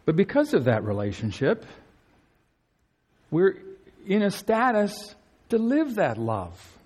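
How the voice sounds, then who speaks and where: A male pastor preaching an earnest sermon, his voice climbing high to stress key phrases. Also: wildly swooping pitch, slow pace, a little mellow, breathy edge. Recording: long hall reverb.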